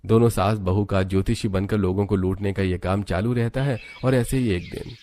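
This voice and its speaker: A man reads a scripted story as narrator. His voice is fairly low and very mellow, with soft music underneath. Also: steady tone, moderate pace.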